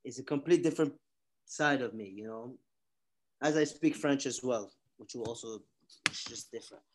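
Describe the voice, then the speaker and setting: A young man who grew up in Nigeria, talking casually as an interview guest, animated and often restarting his sentences. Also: mid-range voice, moderate pace, noisy background. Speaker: guest musician.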